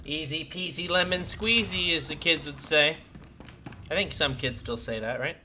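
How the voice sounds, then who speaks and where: A man's voice: a little high, moderate pace, bright, forceful tone, a little hiss or hum. A man giving casual gameplay commentary as a streamer, chipper and full of playful asides. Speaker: gaming streamer, host.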